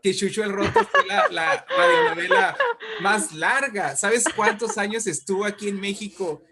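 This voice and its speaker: Higher-pitched man explaining history conversationally. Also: normal speed, very noisy. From Mexico. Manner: animated storytelling with frequent check-in questions. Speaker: interview guest, writer and poet.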